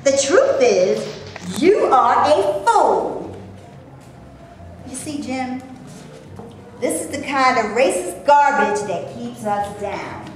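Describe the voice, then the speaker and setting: A woman reading the part of a proud, sharp-tongued actress in a staged play reading, answering with cutting, exasperated retorts. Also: on the high side, big pitch swings, slow, pauses often. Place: theater stage before a live audience. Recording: very echoey stage, faint room noise.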